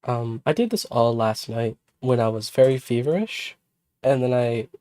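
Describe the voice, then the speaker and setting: Hoarse sick girl streaming from home, mellow dark voice, lots of ums and restarts. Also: rumbling low, moderate pace, no room sound. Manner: rambling, many fillers and restarts. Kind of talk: casual livestream chat.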